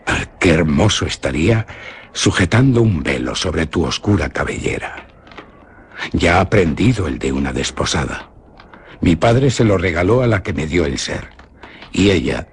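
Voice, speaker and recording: A young Spanish nobleman from a radio play, brooding and earnest, with a very deep voice that rises and falls. He talks in a hushed, solemn way. A steady hiss sits under the old radio recording. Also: a little slow, long pauses, exaggerated voice. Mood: tender. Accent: Spain Spanish. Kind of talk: 1950s-style radio drama acting.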